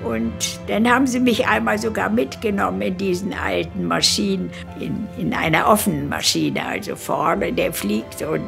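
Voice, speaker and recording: A woman of about 100 from Königsberg in former East Prussia, reminiscing in an unhurried, halting way in a documentary interview. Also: medium pitch, animated, gravelly, faint music behind. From Königsberg, East Prussia, Germany. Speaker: documentary interviewee, eyewitness recalling her life. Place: furnished living room, indoors.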